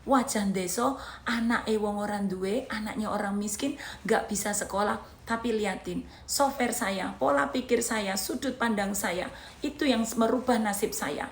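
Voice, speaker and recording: A woman's voice: mid-range voice, a little slow. Javanese accent woman, 30s, pushy motivational vlog, repeats stuff in lists, roomy sound with faint hiss. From Indonesia. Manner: emphatic, repeats points in lists. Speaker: motivational vlogger. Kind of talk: motivational talk to camera.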